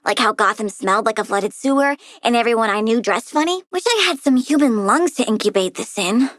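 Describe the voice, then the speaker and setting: A woman's voice: a little high, expressive, a little fast, a bit bright, no echo, studio quality. A woman voicing a young girl plant villain in a video game, cutesy and petulant with a gleefully sinister manner. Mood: playfully bratty.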